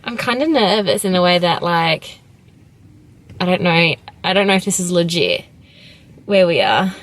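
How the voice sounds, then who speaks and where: A woman's voice: lowish voice, animated, moderate pace. A woman vlogger chatting casually in an informal, sweary, giggly way. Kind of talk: casual vlog chat.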